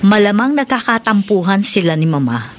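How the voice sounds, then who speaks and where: Woman playing puzzled married mother, expressive, animated pitch, conversational drama delivery, slight background noise. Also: normal speed, natural voice. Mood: reassuring, confiding. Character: reassuring, puzzled wife and mother on holiday. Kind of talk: Filipino radio drama acting.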